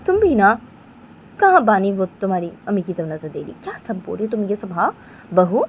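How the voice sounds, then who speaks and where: A woman's voice: mid-range voice, lively intonation, fairly quick, slight background noise, natural voice. A woman voicing a grandmother who chides her daughter-in-law in a reproachful tone. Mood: chiding. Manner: chiding, reproachful. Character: scolding grandmother, reproachful elder.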